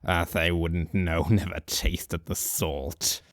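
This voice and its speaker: A man voicing a rambling, drunk old hobo sage who muses philosophically and slowly, with dry chuckles. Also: sits low, fairly even intonation, no room sound, voice pushed down. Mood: musing. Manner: slow, musing philosophical monologue with chuckles.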